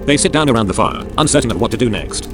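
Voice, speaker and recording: A male narrator reads a scripted action story at a brisk pace. His voice sits at a middling pitch, and music plays clearly behind it. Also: big pitch swings.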